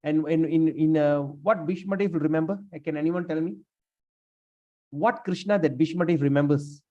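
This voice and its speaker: A man leading a scripture class, speaking haltingly with many fillers and restarts while he has lost his voice. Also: a little high, darkish tone. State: lost his voice, sprained neck. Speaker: class leader. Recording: dull lo-fi room recording.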